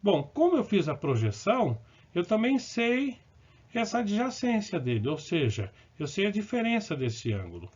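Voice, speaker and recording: A man's voice: sits high, huge pitch swings, moderate pace, a little mellow, breathy edge. Math teacher walking through problems step by step, fixes his own slips, muffled lo-fi audio. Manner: explains step by step, correcting himself as he goes; checks in with short asides to the viewer. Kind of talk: recorded lesson working through problems. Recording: dull, lo-fi recording.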